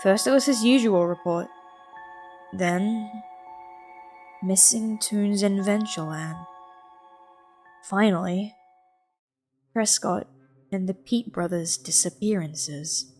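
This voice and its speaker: A woman's voice: mid-range voice, slow pace, pauses often, darkish tone, faint music behind. A woman reading scripted fiction aloud as the story's narrator.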